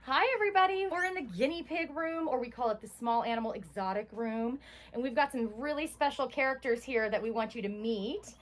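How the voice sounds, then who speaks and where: High-voiced woman guiding kids, slightly distant mic. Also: fairly quick. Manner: enthusiastic, simple child-directed explaining. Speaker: animal shelter guide. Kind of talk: educational virtual tour for children. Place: indoor animal shelter rooms. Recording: distant mic, faint background noise.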